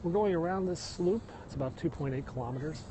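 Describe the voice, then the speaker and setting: A man's voice: fairly high pitch, fast talker, background noise. A middle-aged man with a Midwestern American accent, chatting casually to viewers as he livestreams a walk on a hillside trail and points out the sights. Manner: chatty, tour-guide pointing out sights, answers viewer comments. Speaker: live-streaming vlogger. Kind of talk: casual walking livestream chat. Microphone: phone held while walking. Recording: phone recording outdoors with loud cicadas. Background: insects and cicadas.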